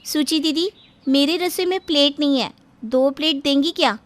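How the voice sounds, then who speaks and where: A woman's voice: sits high, moderate pace, lightly acted. A woman playing a quarrelsome, indignant younger daughter-in-law in a story, whiny and argumentative with exaggerated delivery. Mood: placating. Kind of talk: animated Hindi moral story dubbing.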